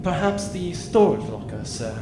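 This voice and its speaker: Male actor as deferential AI butler of a starship; slightly high, exact, unruffled, under loud music. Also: very animated, moderate pace, gravelly. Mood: calm, deferential. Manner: formal, precise, deferential. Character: polite, formal ship's computer assistant, unflappable and precise. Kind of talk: sci-fi audio drama acting.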